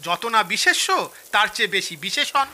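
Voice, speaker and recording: An actress playing a lively, playful young daughter-in-law who judges a quiz in a Bengali audio drama. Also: female voice, medium pitch, brisk. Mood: teasing.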